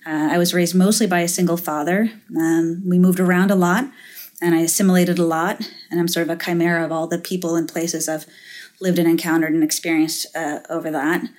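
A middle-aged woman from Finland speaks as a guest in an interview, with a somewhat low voice. She talks in a reflective, halting way, full of restarts and fillers as she works through her answers. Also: flat delivery, darkish tone. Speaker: guest, venture capitalist. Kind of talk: interview conversation.

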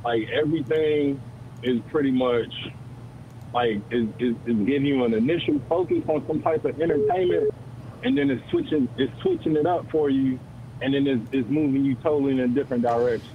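A man's voice: medium pitch, animated, fairly quick. A male caller on a call-in show, talking earnestly in a rambling way with many fillers. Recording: dull phone line with faint noise.

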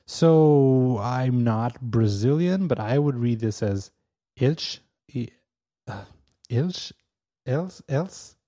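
Mellow-voiced man, halting. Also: medium pitch, animated. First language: Portuguese. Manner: hesitant, full of fillers, chuckles often. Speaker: podcast co-host. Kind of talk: casual podcast chat.

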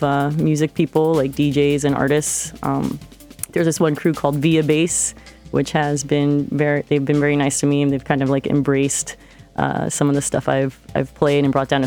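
A woman's voice: deep voice, flat delivery, moderate pace, a little mellow. Woman DJ, hesitant, lots of fillers, faint music behind. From Florida, United States. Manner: hesitant, many fillers and restarts. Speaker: DJ guest. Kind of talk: casual radio interview. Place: radio studio.

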